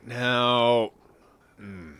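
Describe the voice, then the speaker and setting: A man's voice: medium pitch, drawn-out words, fairly bright tone, smooth. A man with a stuffy, congested nose giving casual gameplay commentary as a streamer, muttering to himself and mocking himself with frequent chuckles. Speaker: game streamer.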